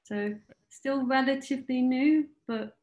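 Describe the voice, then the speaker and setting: A young British woman, an artist, gives a modest, soft-spoken introduction on a video call panel. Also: high-pitched, unhurried, muffled recording. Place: room hung with paintings.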